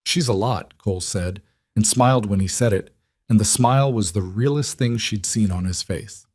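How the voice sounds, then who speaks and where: A man's voice: lowish voice, moderate pace, darkish tone, dry recording, studio quality. A man reading a scripted story as its narrator.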